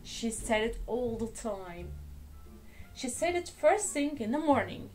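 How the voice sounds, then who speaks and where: A woman reading a children's story aloud in a deliberate, expressive storytelling manner. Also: on the high side, faint music behind. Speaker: children's storybook reader, English teacher. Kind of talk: slow expressive read-aloud for young children.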